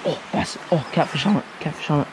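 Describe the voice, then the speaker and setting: A young man talks in a slightly higher register, exclaiming and repeating his words as he fishes. He is heard outdoors on a creek bank. The action-camera audio has clear background noise. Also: quick pace. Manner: excitable, repeats himself, exclaims loudly. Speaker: fishing companion. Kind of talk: excited casual chat.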